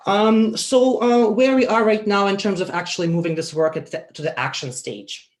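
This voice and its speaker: A man giving an academic conference presentation, earnest and measured, with frequent ums and uhs. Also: sits high.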